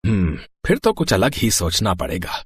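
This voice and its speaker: Man acting a meddling uncle, swooping husky voice. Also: deep voice, huge pitch swings, moderate pace, no room sound, exaggerated voice. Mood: conspiratorial. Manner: hesitant filler sounds, tag questions. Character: meddling, fussy middle-aged maternal uncle. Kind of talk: Hindi cartoon family drama acting.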